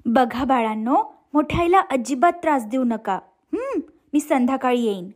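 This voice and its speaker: Poor mother in a cartoon fable, played by a female narrator: high-pitched, gentle, pleading. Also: lively intonation, normal speed. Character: gentle, poor mother entrusting her daughters. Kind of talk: cartoon moral story voice acting.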